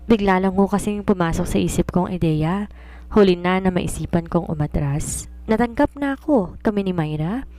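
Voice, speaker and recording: A young Filipina housemaid from a romance storybook, voiced by a woman narrator. She is deferential and courteous, with a mellow tone. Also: lowish voice, moderate pace, natural voice. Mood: secretive, pleading. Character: young woman posing as polite, eager housemaid. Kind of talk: storybook character reading.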